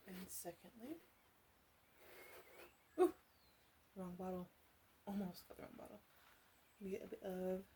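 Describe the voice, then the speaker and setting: A woman playing a caring, teasing witch in a soft ASMR roleplay voice that slips into whispers. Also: on the low side, slight background noise. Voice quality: soft, breathy, slipping into whisper. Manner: gentle, unhurried, playfully teasing. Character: female witch, flirty, caring, teasing potion seller.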